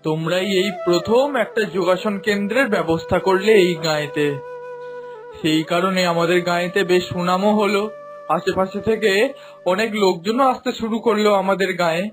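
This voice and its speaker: A man voices a village headman in a cartoon, formal and full of praise. His voice sits notably high for a man. Music plays faintly underneath. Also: moderate pace, close to an everyday voice. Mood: approving. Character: village headman, respected middle-aged man. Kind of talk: animated cartoon voice acting.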